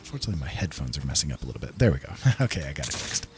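A man's voice: rumbling low, sing-song, very fast, background noise. A man giving casual, easygoing gameplay commentary, musing and talking to himself. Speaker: gameplay host. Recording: loud game music behind. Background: game music and sounds.

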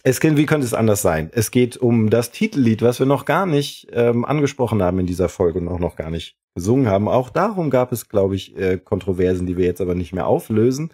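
A man with a fairly low, noticeably husky voice co-hosts a podcast. He chats casually, in a rambling, joking way. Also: expressive, brisk, few pauses, mellow. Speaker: podcast co-host.

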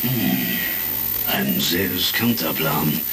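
Space intelligence chief: extremely low, gravelly, cautious and formal, crackly vintage radio play. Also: male voice, big pitch swings, slow, music in the background, exaggerated voice. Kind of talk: retro sci-fi audio drama acting. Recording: distant, noisy vintage record crackle.

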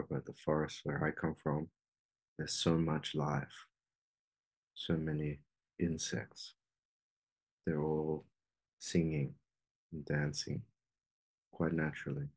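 A man with an extremely low voice guides a meditation. His delivery is slow and fairly flat, with long pauses between phrases. The tone is slightly husky rather than clear.

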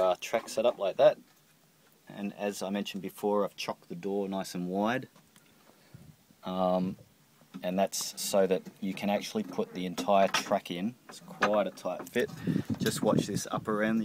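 A middle-aged man with a very deep voice walks through a boat door repair in a casual, halting way, full of ums and restarts. He records on a handheld phone in the boat's cockpit, with a faint hiss behind him. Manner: hesitant, many ums and restarts. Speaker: boat owner showing a repair. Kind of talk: casual how-to walkthrough. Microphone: handheld phone camera.

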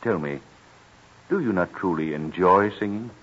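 An actor playing a formal, emphatic colonel and patron of music in an old radio drama. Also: male voice, low voice, moderate pace, a bit bright. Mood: earnest. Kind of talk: old-time American radio drama acting. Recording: muffled, lo-fi old radio broadcast.

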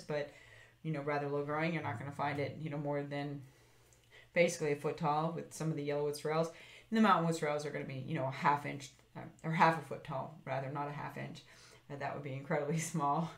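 A woman with a notably low voice walks through a slideshow of wild plants, chatting loosely with plenty of ums and quick self-corrections. The recording carries a clear room echo around her voice-over. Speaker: foraging channel host. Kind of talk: casual narrated plant slideshow.

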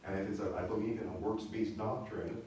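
Man, pastor, conversational sermon, repeats key points, mellow low voice, very echoey church. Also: fairly even intonation, brisk. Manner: conversational teaching with check-in questions and repetition. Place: church sanctuary, speaking from the stage. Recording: echoey church hall, distant mic, faint noise.

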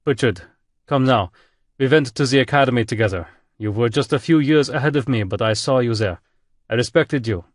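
A man voicing an imperial space admiral with a thick German accent, booming and imperious. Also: a little fast, no echo, studio quality, lightly acted. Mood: persuasive.

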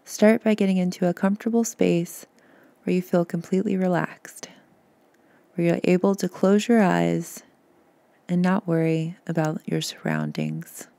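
A woman guiding a meditation in a soft, soothing, gentle voice, breathy at times, with unhurried pauses. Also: fairly low pitch, expressive, dry recording. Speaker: podcast host and meditation guide. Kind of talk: guided meditation narration.

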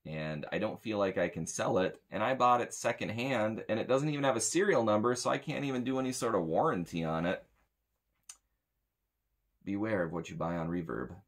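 A man's voice: on the low side, brisk. An American man in his thirties giving a casual, rambling gear review as a sound tech, blunt and grumbling. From United States. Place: small indoor room with metal shelving.

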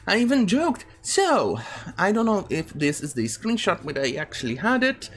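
A man's voice: high-pitched, wildly swooping pitch, moderate pace, a bit bright. A man in his thirties, not a native English speaker, hosting an indignant, rambling commentary video from home. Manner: indignant, rambling, many fillers. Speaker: commentary channel host. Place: home room with bookshelves.

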